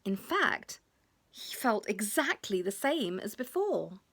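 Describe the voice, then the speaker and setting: A woman reading a children's storybook expressively, with drawn-out emphasis and hushed moments. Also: medium pitch, very animated, husky. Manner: dramatic pauses, drawn-out emphasis, drops to hushed tones.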